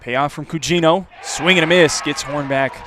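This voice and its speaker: A man with an American accent giving live radio play-by-play commentary of a baseball game, measured between plays and louder on the action. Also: fairly high pitch, a crowd in the background, noisy background.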